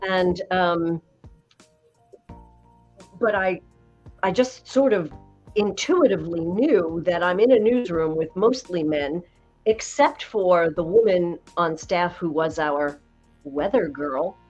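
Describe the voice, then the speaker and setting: Slightly low-voiced woman in her sixties. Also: takes it slowly, pauses often, a little mellow, highs cut off, soft background music. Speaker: voice coach guest. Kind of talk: conversational podcast interview.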